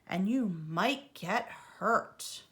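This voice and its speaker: A woman's voice: mid-range voice, expressive, drawn-out words, fairly bright tone. A woman voicing a caring, protective mother fox in a children's storybook, firm and reassuring. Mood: firm warning.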